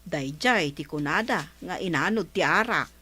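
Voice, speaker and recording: An actress playing a chatty, knowing village gossip in a radio comedy drama. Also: female voice, low-pitched, expressive, moderate pace, sharp tone, no room sound, exaggerated voice. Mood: confiding.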